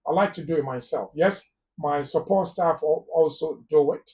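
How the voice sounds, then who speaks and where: A man's voice: fairly high pitch, normal speed, darkish tone, far from the mic. A man with a Ghanaian English accent giving immigration advice on a live phone-in talk show, emphatic and repeating words for stress. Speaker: immigration lawyer guest.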